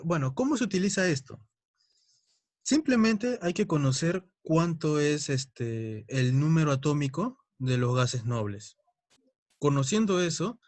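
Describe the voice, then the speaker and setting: A man's voice: mid-range voice, darkish tone, no room sound. A young man teaching a chemistry lesson, explaining step by step and often checking in with his students. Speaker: chemistry tutor. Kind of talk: recorded online lesson.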